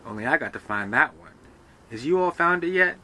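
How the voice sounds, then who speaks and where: Man doing a drawling servant boy, higher pitch, louder, bright tone, storybook reading. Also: animated, brisk, a little hiss or hum. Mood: wheedling. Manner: drawling Southern dialect, wheedling.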